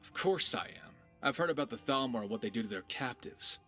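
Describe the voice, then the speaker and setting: A man's voice: mid-range voice, lively intonation, brisk, sharp tone, faint music behind. A man voicing a sardonic but loyal pirate companion in a fantasy video game, with a dry delivery. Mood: earnest. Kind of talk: scripted fantasy game dialogue.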